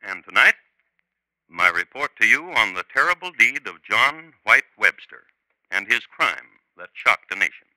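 A man hosting and narrating a 1950s radio true crime show in a deliberate, formal, dramatic storytelling manner. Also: on the low side, big pitch swings, pushed and bright, no room sound, low-quality recording. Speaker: host and narrator. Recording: vintage 1950s radio recording.

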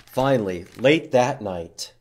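A man in his fifties hosting a children's storytime, reading and teaching in an animated, emphatic way for young children. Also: medium pitch, slow pace. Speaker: library storytime host. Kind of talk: children's read-aloud and teaching. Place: indoor public library room.

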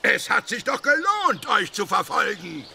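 An actor playing a scheming, whiny, blustering thief in a children's audio drama, with theatrical exclamations. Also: male voice, sits high, very animated, measured pace, vocal fry, pushed and bright, a little hiss or hum, exaggerated voice. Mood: gloating, menacing. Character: scheming, whiny male thief and braggart villain.

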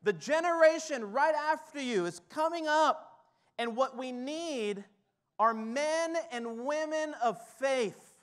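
A male pastor in his thirties preaches from a church stage through a headset mic, with a high, light voice. He moves from hushed, reflective passages up to urgent, rising exhortation. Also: animated, takes it slowly. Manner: builds from quiet to fired-up exhortation. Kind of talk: sermon. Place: church stage with drum kit, indoors.

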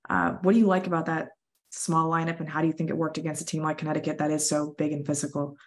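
Young female reporter, brisk and very even, press conference questioning. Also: deep voice. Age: young adult. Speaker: sports reporter. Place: room indoors. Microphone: video call.

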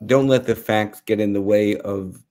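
A man's voice: on the low side, steady tone, normal speed, darkish tone. A middle-aged man with an American accent, talking off the cuff as a panelist. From United States. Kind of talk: unscripted panel discussion. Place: home office on a video call.

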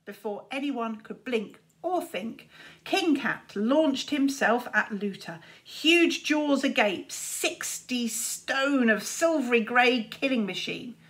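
A woman's voice: a little high, expressive, takes it slowly, a bit bright. A woman, narrating a children's story expressively, like a teacher reading aloud.

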